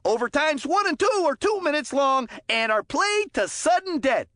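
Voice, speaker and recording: Excitable, high-pitched folksy hockey commentator. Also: moderate pace, dry recording, clean studio sound. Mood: brisk, explanatory. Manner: folksy, chatty, eager, slangy interjections. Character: excitable, folksy kids' hockey game commentator, cheerful and wholesome. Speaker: sports commentator. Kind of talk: animated sports game commentary.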